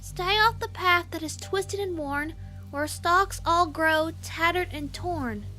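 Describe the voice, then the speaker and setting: A woman's voice: very high-pitched, slow pace, bright, forceful tone, soft background music, full character voice, poor audio. A woman voicing a stubborn, uneasy young country pony filly in a fan audio drama. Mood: eerie reciting.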